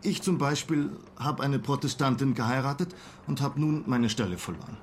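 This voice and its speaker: A man acts out a mild former schoolteacher reduced to selling, in a radio play. He talks articulately, with wry bitterness, at an ordinary middle pitch over faint ambience. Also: moderate pace, a little hiss or hum, lightly acted. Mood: bitter, resigned. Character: mild former teacher, now a disillusioned toothpaste salesman. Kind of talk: German radio play dialogue.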